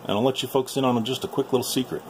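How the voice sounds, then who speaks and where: A man's voice: mid-range voice, fairly even intonation, very fast, a little hiss or hum. A man giving a casual, folksy tour of his workshop to viewers, recorded on a handheld camera in a small room. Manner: informal, folksy, addressing viewers. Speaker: video host and radio hobbyist. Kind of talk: casual shop tour commentary.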